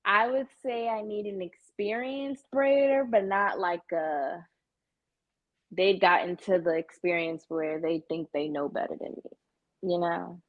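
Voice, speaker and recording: A woman's voice: lowish voice, unhurried, narrow, tinny audio. A woman guest chatting casually as a salon client, with a blunt, candid, slangy manner. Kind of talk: casual chat.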